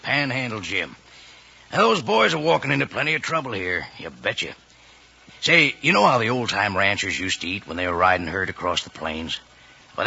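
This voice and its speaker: Husky folksy old cowboy. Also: male voice, medium pitch, exaggerated voice. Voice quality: husky, gravelly. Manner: drawling, chummy, animated. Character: folksy, jolly old male cowboy pitchman. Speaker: character pitchman in cereal commercial. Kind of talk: 1950s radio ad, cowboy character. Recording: dull old radio recording.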